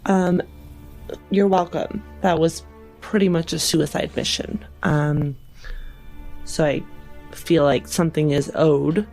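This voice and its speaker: A woman's voice: deep voice, fairly even intonation, slow, gravelly, long pauses, darkish tone, low-quality recording. Woman role-playing casually over faint music. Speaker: player in a tabletop game. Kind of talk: casual in-character role-play.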